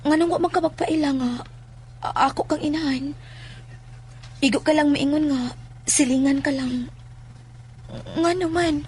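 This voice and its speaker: A woman's voice: high-pitched, steady tone, unhurried, long pauses, darkish tone, slight background noise. A woman playing an anxious, suspicious teenage schoolgirl who stammers and questions in a horror audio drama. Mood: questioning.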